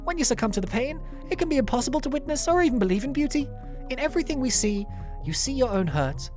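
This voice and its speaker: A solemn, philosophical video game character voiced by a woman muses earnestly in a fairly high voice. The delivery is reflective and halting, with big swings in pitch. Soft music sits underneath. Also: big pitch swings. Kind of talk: dramatic monologue reading.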